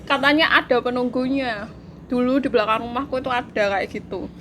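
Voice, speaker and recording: A woman's voice: on the high side, a little fast, fairly bright tone, a little hiss or hum. A woman, chatting casually and giggling as she tells a spooky story. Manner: giggly, laughs through her story. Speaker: co-host telling ghost stories. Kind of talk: casual chat.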